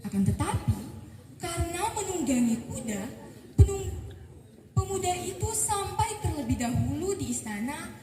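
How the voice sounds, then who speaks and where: A teenage girl from eastern Indonesia telling a folktale in a slow, careful, sing-song storytelling voice. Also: very high voice, sing-song, far from the mic, noisy background. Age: teens. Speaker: student storyteller. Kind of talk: folktale narration. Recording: echoey room, voice set back from the mic. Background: music playing behind the voice.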